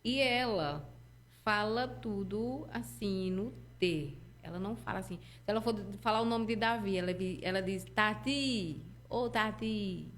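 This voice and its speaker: A woman's voice: mid-range voice, a little slow, a little hiss or hum. A woman speaking Brazilian Portuguese, hosting an interview in a casual, conversational way and affirming her guest often. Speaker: host.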